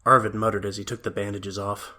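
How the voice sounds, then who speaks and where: A man's voice: fairly low pitch, little pitch movement, fairly quick, sharp tone, slight background noise. A man reading scripted story narration for an audio drama.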